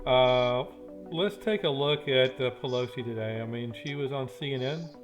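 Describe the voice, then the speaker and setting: A man hosting a casual political talk show, chiming in often with quick agreement. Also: mid-range voice, music in the background.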